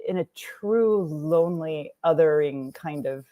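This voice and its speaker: A middle-aged woman from Texas who grew up speaking Lithuanian, telling her life story as a guest in a conversational interview, animated and laughing often. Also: deep voice, unhurried, phone-quality sound. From Texas, USA. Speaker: guest, writer and nonprofit founder.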